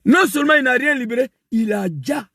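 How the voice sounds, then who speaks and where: Man, gravelly, dry close sound, fiery political rant. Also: extremely high pitch, lively intonation, brisk, no echo. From West Africa. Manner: emphatic, repetitive, rising to shouts. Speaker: political commentator.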